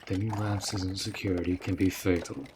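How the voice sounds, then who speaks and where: A man playing a dutiful, protective orc general in a roleplay audio drama, speaking in a measured, earnest way with a tender side. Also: low voice, fairly even intonation, darkish tone, a little hiss or hum, close to an everyday voice. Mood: dutiful, worried. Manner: slow, measured, earnest, with sighs.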